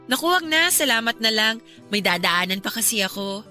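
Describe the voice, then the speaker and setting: A Filipino woman playing a weary, gentle young former girlfriend in a radio romance drama, speaking in a hesitant, conversational way. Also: on the high side, animated, normal speed, soft background music. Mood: awkward, friendly.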